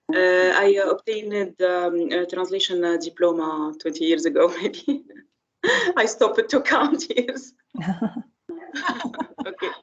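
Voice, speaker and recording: A speaker from Tunisia whose first language is Arabic, a guest on a video call. He talks hesitantly, with many "uh" pauses. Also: high-pitched, fairly bright tone, highs cut off.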